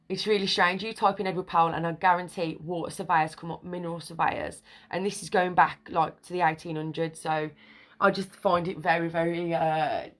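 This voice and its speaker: A woman reading an old newspaper article aloud, stumbling over archaic words and place names, then commenting on it with excited emphasis. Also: lowish voice. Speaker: video creator.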